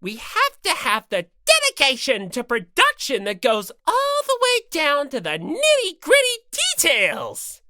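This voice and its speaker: Mischievous bear villain mascot, smug, mock-sweet, theatrical, with a lively swooping delivery for an audiobook. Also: female voice, high-pitched, measured pace, few pauses, no echo, studio quality, pitched above the performer's own voice, full character voice. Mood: showy, scheming. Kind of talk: dramatized audiobook character reading.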